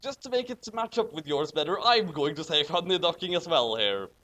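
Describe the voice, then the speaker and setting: A man's voice: on the high side, lively intonation, fairly quick, bright, forceful tone. A man hosting a comedic fanfiction reading, mixing read-aloud lines with casual commentary.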